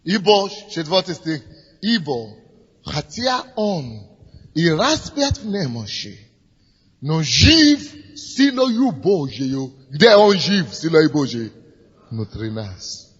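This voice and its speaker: A man's voice: a little high, big pitch swings, takes it slowly, slightly rough. A man preaching. He grows fervent, repeats his phrases and leads the people in prayer. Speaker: pastor. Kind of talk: sermon leading prayer. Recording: dull lo-fi recording in a reverberant hall with music.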